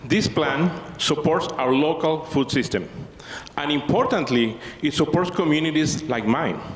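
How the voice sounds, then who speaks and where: A man who co-founded a community organization, giving a measured, earnest prepared speech. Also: a little high. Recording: roomy hall reverb, faint noise.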